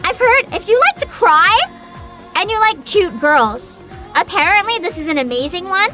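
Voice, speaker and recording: A woman's voice: extremely high pitch, animated, moderate pace, music in the background. A woman hosting a livestream, chatting informally to her viewers and giving her opinions, often humming between thoughts. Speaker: livestream host.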